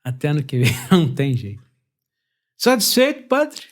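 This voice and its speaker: Male Brazilian podcast host, high voice, lively and chatty. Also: measured pace. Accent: Brazilian Portuguese. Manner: chatty, animated, laughs easily. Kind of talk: casual chat.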